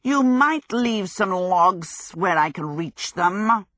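A woman's voice: on the low side, expressive, slow pace, a bit bright, no echo, heavily acted. Here is a small fire demon from a storybook, male, proud and a little needy as a woman narrator plays him. The timbre is set apart from plain narration and the words are pressed out with emphasis. The sound is close and dry. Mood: pleading.

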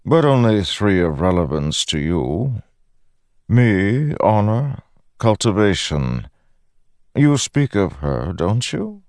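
A man voicing an ancient malevolent god in a fantasy audiobook, smiling, paternal and patronizing, with unhurried theatrical delivery. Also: fairly low pitch, very animated, darkish tone, no echo, studio quality, voice pushed up, full character voice. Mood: paternal, inviting.

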